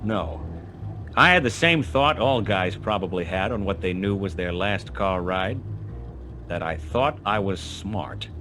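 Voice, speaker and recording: A man's voice: deep voice, lively intonation, a little slow, background noise. A man playing a hardboiled detective, narrating a noir radio play in a wry, terse, dramatic way. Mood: tense, wry. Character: hardboiled male detective narrating his brush with death.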